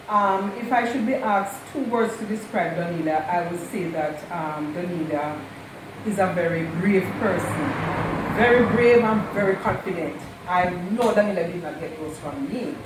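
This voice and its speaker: A woman, the host's mother, fondly telling stories about her daughter's childhood at an unhurried pace and repeating herself for emphasis. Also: mid-range voice. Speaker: mother of the channel host, guest. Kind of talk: casual storytelling. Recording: echoey room, distant mic, noisy.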